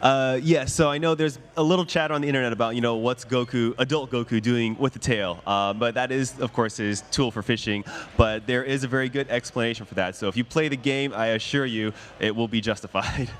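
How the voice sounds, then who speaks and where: A man's voice: medium pitch, fairly bright tone, a little hiss or hum. A man presenting a game in an interview, rattling off points with many fillers. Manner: quick, filler-laden explanations. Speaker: game developer spokesperson and interpreter. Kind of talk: explaining game features in an interview.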